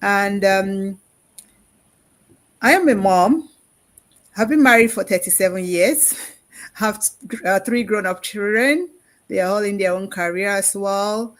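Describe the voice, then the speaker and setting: A woman's voice: mid-range voice, takes it slowly, pauses often. A mature woman in her fifties or older from England, chatting casually as an interview guest. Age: 50s or older.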